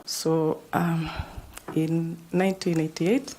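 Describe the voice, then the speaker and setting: A Kenyan woman in her fifties with a Kenyan accent, answering questions in a formal interview with measured pauses and fillers. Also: deep voice, flat delivery, warm tone, poor audio. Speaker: job candidate.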